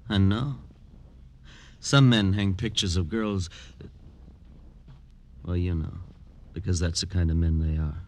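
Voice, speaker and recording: Odd, brooding attendant, low, understated, halting, old radio recording with slight noise. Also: male voice, fairly even intonation. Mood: uneasy, brooding. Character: soft-spoken, odd, self-loathing male hospital attendant. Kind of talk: old-time radio drama acting.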